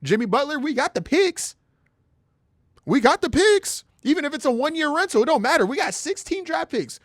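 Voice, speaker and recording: A man co-hosting a casual sports podcast, talking fast and getting animated, his voice jumping up high when excited. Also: dry recording, clean studio sound. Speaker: podcast co-host.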